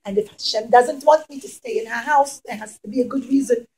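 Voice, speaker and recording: High-voiced woman telling children a story. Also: fairly quick, darkish tone, breathy edge, low-quality recording. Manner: emphatic, repeats phrases, questions the children. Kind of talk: interactive religious storytelling for young children.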